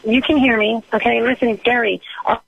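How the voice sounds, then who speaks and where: A high-pitched, brash woman played by a female prank caller airs an indignant complaint over a dull phone line. She rambles, interrupts and pushes, with flirty pet names thrown in. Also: fairly even intonation, fairly quick, creaky, slight background noise. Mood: indignant, pushy. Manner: rambling, interrupting, pushy, calls listener sweetheart. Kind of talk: improvised prank phone call.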